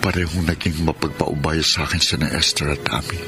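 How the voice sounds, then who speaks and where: A low-pitched young Filipino man, tender and torn in love. He speaks with radio drama earnestness, as dialogue and confession, over loud music. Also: steady tone, brisk. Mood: wistful confession. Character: tender young male student, lover torn between two women. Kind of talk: Filipino radio drama acting and first-person narration. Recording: loud music bed behind the voice.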